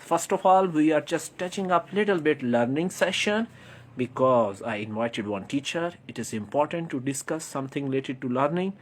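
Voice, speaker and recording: A man with a Pakistani accent hosting a casual livestream interview in an effusive, repetitive, wordy way. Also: fairly high pitch. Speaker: live stream host.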